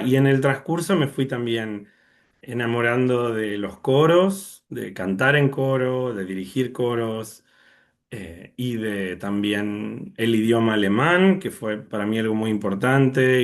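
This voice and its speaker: A man's voice: medium pitch, lively intonation, a little slow. Young Argentine man on a video call. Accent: Argentine Spanish. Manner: thoughtful, many fillers and restarts. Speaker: orchestra conductor, guest.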